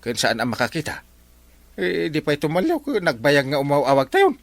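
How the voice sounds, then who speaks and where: A man with an Ilocano accent playing an excitable village man who nags and advises his friend in a comedy drama. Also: a little high, normal speed, gravelly, no room sound, heavily acted. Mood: exasperated.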